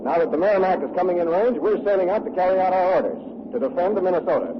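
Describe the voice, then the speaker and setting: A man's voice: sits high, lively intonation, rapid-fire. A man playing a proud, confident young naval lieutenant with a formal, resolute delivery in a 1940s radio drama. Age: young adult. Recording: noisy, muffled vintage radio recording.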